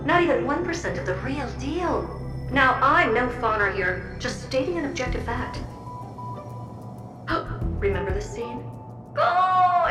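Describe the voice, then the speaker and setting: A fawning floating puppet from a video game, high-pitched and theatrical, her voice swooping up and down as she narrates. She sounds roomy and set back from the mic, with music playing behind. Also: far from the mic, exaggerated voice. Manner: theatrical, flattering, playful storyteller.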